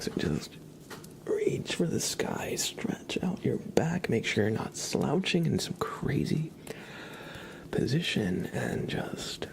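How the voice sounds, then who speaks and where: A soft-spoken man hosting a live ASMR stream, chatting casually with viewers and often dropping into whispers, close to the microphone. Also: mid-range voice, vocal fry. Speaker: ASMR livestreamer, host.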